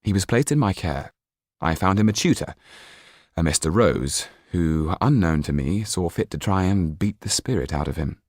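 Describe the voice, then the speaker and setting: A man voicing a well-bred, kindly gentleman banker in an audiobook, measured and polite with quiet authority. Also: low voice, expressive, dry recording, clean studio sound. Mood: confiding.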